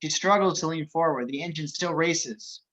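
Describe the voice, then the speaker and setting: Man, higher-pitched voice, scripted narration, screenplay action lines, table read. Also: normal speed, a bit bright. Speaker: reader of action descriptions.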